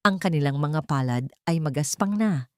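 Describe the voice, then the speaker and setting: A woman narrating a scripted sentimental love story in a measured, expressive way. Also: sits low, dry recording, clean studio sound.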